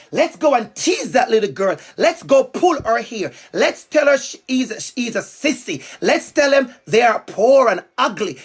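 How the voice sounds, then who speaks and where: A man with a Jamaican accent, from Jamaica, giving a playful, emphatic talk to young students as a school guest speaker. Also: extremely high pitch. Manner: playful, emphatic, calls out to the kids.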